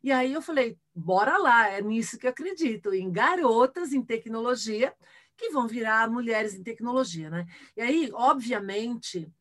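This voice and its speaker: A middle-aged Brazilian woman with a fairly high voice speaks as an interview guest. She has a Brazilian accent and explains things conversationally, often ending phrases with a short tag question to check agreement. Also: animated, moderate pace, a bit bright. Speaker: interview guest, engineer and tech ambassador. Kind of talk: conversational interview answers, personal anecdotes.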